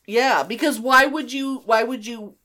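A middle-aged woman co-hosting a podcast in casual, unscripted chat, animated and informal with easy swearing. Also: a little high, a little slow. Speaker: podcast co-host.

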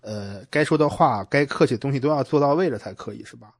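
A man's voice: mid-range voice, fast talker, no echo. A man hosting a casual interview, asking wordy, informal questions and checking back as he goes. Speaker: podcast host, interviewer.

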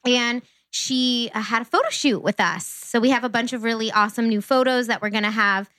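A woman's voice: fairly high pitch, a little fast, fairly bright tone, no echo. A young woman co-hosting a casual podcast, chatty and laughing often. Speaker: podcast co-host. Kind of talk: casual chat. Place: near Cleveland, Ohio.

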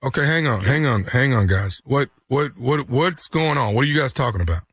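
A man's voice: mid-range voice, lively intonation, a little fast, a bit bright, natural voice. A man playing an apologetic office manager who has to break bad news, hesitant and stammering. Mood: flustered. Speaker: comedian doing prank phone calls.

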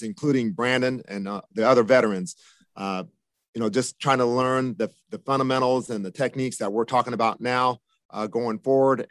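A man in his fifties, a football receivers coach, answering interview questions in a measured way, with many "you know" and "uh" fillers. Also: medium pitch, no echo. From Japan, raised in the United States from age seven.

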